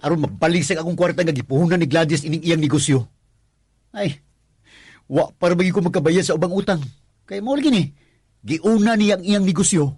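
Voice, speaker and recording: A husky, flustered Cebuano husband. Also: male voice, a little high, a little fast, pauses often, darkish tone, dry recording, studio quality. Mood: exasperated, worried. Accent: Cebuano Filipino. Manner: stammering, exclaiming. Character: flustered Filipino husband and condo guard, worried about money. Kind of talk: Cebuano radio drama acting with narrated asides.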